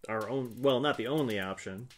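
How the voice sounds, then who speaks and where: A man's voice: medium pitch, fairly quick. Joking man at a tabletop game. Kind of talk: casual role-play and table talk. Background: faint music.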